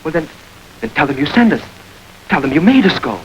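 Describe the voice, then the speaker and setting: A man's voice: on the high side, big pitch swings, brisk, muffled recording. An actor playing a frightened young man pleading for his life in a 1940s radio drama. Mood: desperate. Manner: urgent, pleading. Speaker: Tommy, accused young man. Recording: hissy old radio recording.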